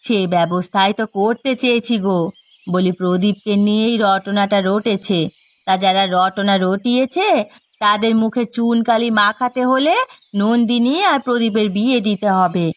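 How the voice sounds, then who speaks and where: A woman's voice: mid-range voice, normal speed, dry recording, natural voice. A woman playing an outspoken, supportive village matchmaker in a cartoon, coaxing and insistent in a melodramatic way. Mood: persuading. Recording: music behind the voice.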